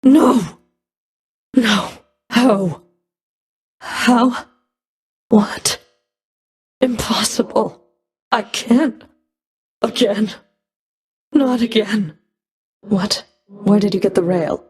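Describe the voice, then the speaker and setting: A woman's voice: medium pitch, very slow, pauses often, a little mellow. A woman playing a stern, scornful Fury of the underworld in a video game, speaking in a cool, clipped, disdainful manner. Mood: shocked, frustrated. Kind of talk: video game combat and dialogue voice lines.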